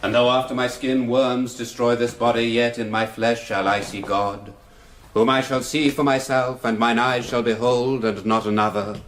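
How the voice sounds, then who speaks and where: An English actor playing a solemn country parson in a radio drama, speaking in a measured, declamatory, formal manner. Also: male voice, mid-range voice, flat delivery, fairly bright tone, slight background noise, close to an everyday voice. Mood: solemn, declaiming. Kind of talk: liturgical reading in radio drama.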